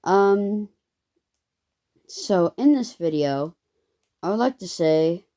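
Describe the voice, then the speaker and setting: Slow, mellow, halting young girl. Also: expressive. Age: child, eleven. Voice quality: occasionally squeaky voice. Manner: halting, rambling. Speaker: first-time video creator. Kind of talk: casual storytelling to viewers.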